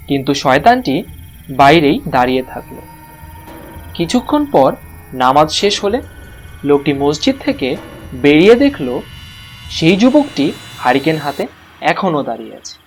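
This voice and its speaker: A man narrating a scripted cartoon story as the storyteller. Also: a little high.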